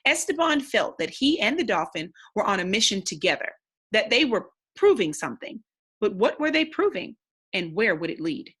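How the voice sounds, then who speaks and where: A woman's voice: medium pitch, bright, forceful tone, husky. A woman reading a storybook aloud expressively, in the instructive, simple manner of a teacher with young listeners.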